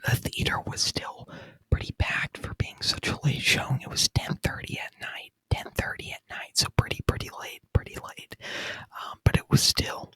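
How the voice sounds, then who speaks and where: A man speaking in a breathy whisper, chatting casually in ASMR style and softly repeating words, close to the microphone. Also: moderate pace, dry recording. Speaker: ASMR video creator reviewing a movie.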